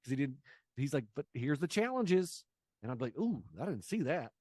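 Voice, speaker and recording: A man in his late forties talking casually as an interview guest, telling things in an energetic way and voicing quoted exchanges. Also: a little high, fairly quick, husky, no echo, clean studio sound. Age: late 40s. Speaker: guest, business coach and sales trainer.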